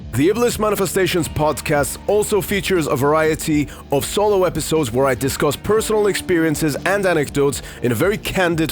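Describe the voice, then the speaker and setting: Iranian-born man hosting a podcast. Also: on the high side. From Iran. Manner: candid, rambling with fillers. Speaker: podcast host. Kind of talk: casual solo podcast talk.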